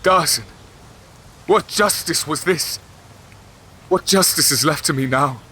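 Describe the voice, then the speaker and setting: Guy playing a weary merciless king, bright forceful voice, formal and commanding, fantasy drama, faint ambience. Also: a little high, measured pace, slight background noise. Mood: stern, anguished. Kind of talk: fantasy audio drama acting.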